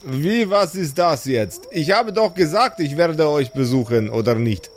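Guy doing a mocking hoarse dark-voiced ally in a tabletop audio adventure, blunt and cheeky. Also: fairly high pitch, lively intonation, a little slow, slight background noise, voice pushed up. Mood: mocking, impatient.

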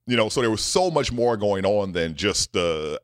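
Man, middle-aged, low voice, lively rise and fall, dry close sound, talkative guest. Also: moderate pace, no room sound. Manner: animated, with many repeated "right?" asides. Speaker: guest scientist. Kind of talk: storytelling in a podcast interview.

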